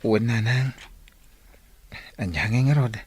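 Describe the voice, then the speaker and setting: A man's voice: medium pitch, measured pace, breathy edge. A man with an Ilocano accent playing a patient, long-suffering young son in an audio drama. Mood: resigned. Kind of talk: Filipino audio drama acting.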